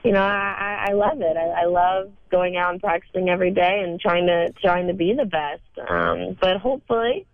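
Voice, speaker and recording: Woman, 20s, hoarse from a cold, gravelly, phone line, dry. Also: fairly low pitch, dry recording. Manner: halting, many fillers and restarts. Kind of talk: casual interview answers.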